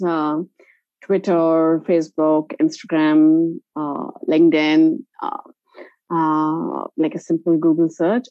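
A woman with an Indian accent answering casually as an interview guest, halting with frequent hesitations. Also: fairly low pitch, dry recording, highs cut off. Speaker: interview guest, travel company founder.

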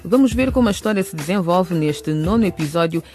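A woman's voice: lowish voice, lively intonation, fairly quick. A woman reading scripted narration as the storyteller of a radio drama. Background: music.

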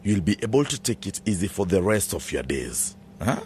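An actor playing a menacing, scheming farm owner in a radio drama, domineering and theatrical, wheedling one moment and threatening the next. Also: male voice, sits low, very animated, moderate pace, a little mellow, slight background noise. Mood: conspiratorial, coaxing.

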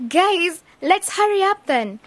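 A woman's voice: very high-pitched, sing-song, slow, full character voice. A woman acting an assertive, articulate young woman in a radio drama. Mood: earnest. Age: young adult. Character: sharp young woman, planning a community radio station.

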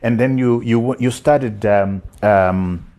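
A man hosting an interview, asking questions slowly, repeating words and murmuring along while his guest talks. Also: medium pitch, mellow. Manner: slow, repeats words, many listening murmurs. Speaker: interview host. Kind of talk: interview conversation.

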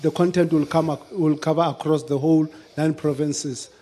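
A man giving a matter-of-fact, somewhat halting explanation off the cuff at a public discussion. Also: fairly high pitch, fairly even intonation, dark tone.

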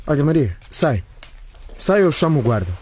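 A woman's voice: sits low, very animated, moderate pace, crowd noise. A woman playing a cold, dismissive teenage boy in a radio drama, curt and threatening.

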